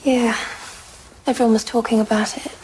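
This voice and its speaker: A woman's voice: a little high, flat delivery, normal speed, darkish tone, a little hiss or hum, lightly acted, poor audio. A woman playing a reticent sixteen-year-old schoolgirl in an audio drama.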